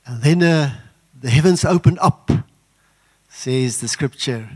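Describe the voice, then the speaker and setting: An older man, an Afrikaans speaker, preaching a sermon in an earnest, halting way with many fillers. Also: medium pitch, darkish tone, breathy edge. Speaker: guest preacher.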